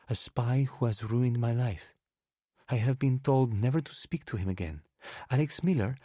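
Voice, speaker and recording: Guy doing a drunk woman, furious and betrayed, voice pushed way up high, rushed and accusing, dry close sound. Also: dark tone, slightly rough, dry recording, lightly acted. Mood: bitter.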